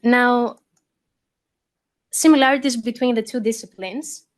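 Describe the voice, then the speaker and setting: A woman's voice: on the high side, fairly even intonation, a little slow, no room sound. A woman from Greece with a Greek accent, giving a conversational conference talk at a mic, with fillers and restarts. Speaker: conference speaker. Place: conference hall. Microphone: handheld or lectern mic.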